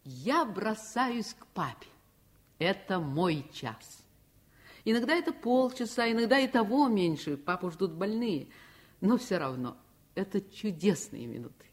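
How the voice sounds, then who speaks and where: Expressive, husky-voiced girl narrator. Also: medium pitch, measured pace. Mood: tender, eager. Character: nine-year-old girl, curious, eager, telling her story. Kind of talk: expressive radio play narration.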